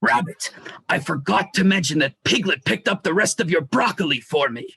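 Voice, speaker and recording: Ailing, gluttonous storybook bear gone to seed: croaky, forceful tone, slow and needy, dark comedy play. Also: male voice, high-pitched, expressive, no echo, full character voice. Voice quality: raspy. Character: gluttonous, ailing, dim old stuffed bear. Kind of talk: dramatized livestream play reading.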